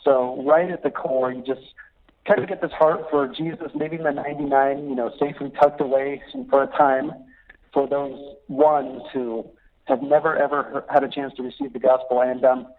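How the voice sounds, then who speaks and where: A man's voice: mid-range voice, moderate pace. Middle-aged man on a choppy phone line. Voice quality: laughs often while talking. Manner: lively, animated storyteller. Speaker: missionary guest. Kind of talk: phone interview, storytelling. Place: calling in from abroad.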